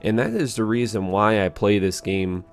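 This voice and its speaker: A young man with a fairly low voice that sounds a bit hoarse and worn. He talks informally and earnestly to his gaming channel viewers, often circling back to repeat himself. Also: normal speed, faint music behind. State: hoarse, voice strained after a concert. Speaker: YouTube gaming channel host. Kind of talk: casual commentary to viewers. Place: small indoor room at home.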